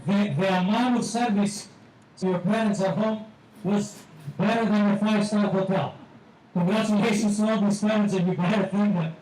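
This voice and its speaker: A man with a Greek accent giving a measured graduation speech as a teacher, repeating phrases for emphasis. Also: high-pitched, steady tone, darkish tone. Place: large hall at a ceremony. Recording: echoey hall, distant mic, faint noise.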